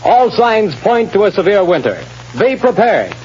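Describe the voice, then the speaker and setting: A man's voice: high voice, sing-song, a little slow. A man announcing a scripted sponsor message in formal, emphatic 1930s radio style. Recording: dull old radio broadcast, music behind.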